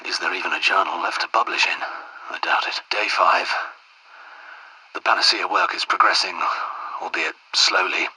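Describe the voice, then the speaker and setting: An actor playing an anxious, earnest English royal doctor in a video game outbreak, well-spoken, polite and hesitant, with a breathy, husky voice. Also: male voice, deep voice, fairly even intonation, normal speed, pauses often, pushed and bright, exaggerated voice, rough recording. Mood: weary, grave. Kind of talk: dramatic game dialogue and audio diary entries.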